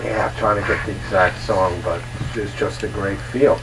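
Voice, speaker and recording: A man's voice: low voice, fairly even intonation, moderate pace. A man hosting a casual music talk show, chatty and complimentary, often restarting his sentences. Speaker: host. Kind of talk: casual interview chat. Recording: distant mic with faint noise.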